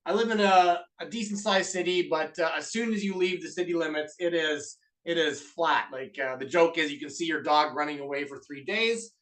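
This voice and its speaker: A man's voice: fairly high pitch, normal speed, a bit bright, far from the mic. A man with a Canadian accent hosting a live online masterclass in an upbeat, chatty way, with lots of filler uhs. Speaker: webinar host and business co-owner. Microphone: video call mic.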